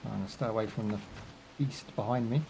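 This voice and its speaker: A man's voice: lowish voice, fairly quick, vocal fry, background noise. A young British man chatting casually while he plays a game live, rambling through jokes, asides and fillers. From United Kingdom. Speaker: gaming streamer. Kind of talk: casual live gameplay commentary.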